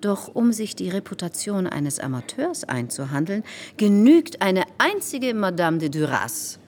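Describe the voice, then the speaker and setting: An actress playing a gossipy, self-important salon hostess in a radio drama, confiding and insistent in a theatrical way. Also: female voice, medium pitch, sing-song, a little slow, a little mellow. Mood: insinuating, insistent.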